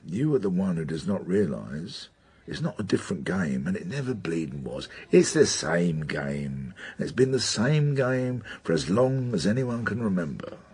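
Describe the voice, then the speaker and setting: Husky, animated British police detective. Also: male voice, low voice, moderate pace, full character voice. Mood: scolding, firm. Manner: emphatic, sardonic, slangy. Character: blunt older male British police detective, gruffly mentoring. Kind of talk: naturalistic radio drama acting.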